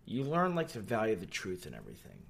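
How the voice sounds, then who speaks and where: A young man from New York, a podcast guest, chatting casually in a rambling, animated way with many fillers. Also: fairly low pitch, fast, creaky, background noise. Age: young adult. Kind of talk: casual chat.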